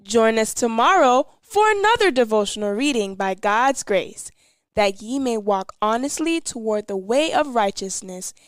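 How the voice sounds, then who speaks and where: Higher-voiced woman announcing, dry sound. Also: animated, moderate pace, dry recording. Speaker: devotional announcer. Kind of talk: scripted sign-off announcement.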